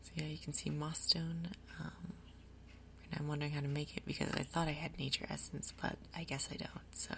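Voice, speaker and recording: A woman speaks in a hushed, breathy whisper with a low, creaky edge. She gently talks viewers through a game as an ASMR host, taking her time. Some background noise sits behind her voice. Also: level tone. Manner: gentle, unhurried, explanatory. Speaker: gaming video host.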